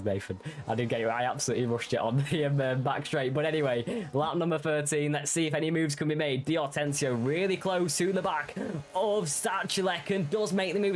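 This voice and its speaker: A man with a Yorkshire accent co-commentating a sim racing league in a relaxed, self-deprecating way, with frequent ums. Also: medium pitch. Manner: easygoing, self-deprecating, filler-heavy.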